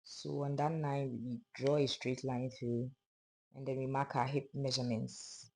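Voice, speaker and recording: A sewing instructor with a hoarse, fading voice, calmly narrating a pattern-drafting tutorial step by step. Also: mid-range voice, measured pace. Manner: calm, step-by-step explaining of measurements. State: hoarse, losing voice.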